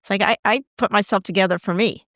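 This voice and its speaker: A woman's voice: mid-range voice, steady tone, quick pace, fairly bright tone, no room sound, studio quality. Reflective middle-aged woman host. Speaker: podcast host. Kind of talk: casual chat.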